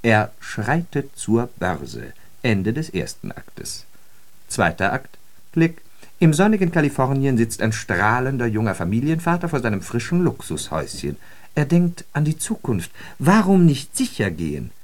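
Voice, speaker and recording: A man acting in a radio drama as a worldly, ironic storyteller, speaking with theatrical emphasis. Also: mid-range voice. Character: worldly man telling ironic travel parables of New York. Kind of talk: scripted German radio drama monologue.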